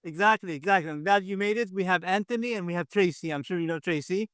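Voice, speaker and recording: A man's voice: sits high, fast talker, fairly bright tone, smooth, dry recording, studio quality. A man casually hosting a quiz game on a video call, encouraging and often repeating himself. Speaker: quiz show host.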